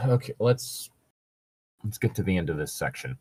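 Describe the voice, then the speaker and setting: A man's voice: lowish voice, very animated, unhurried, mellow, slightly rough, dry recording. A man co-hosting a casual, irreverent read-aloud of fan fiction, bantering crudely and swearing freely between lines. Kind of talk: casual banter and mock dramatic reading.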